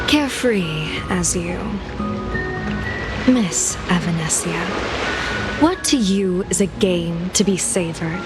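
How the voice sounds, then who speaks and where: An actress voicing a grave, dutiful female diviner in a video game, speaking in a measured, formal, poetic way. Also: lowish voice, lightly acted. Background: background music.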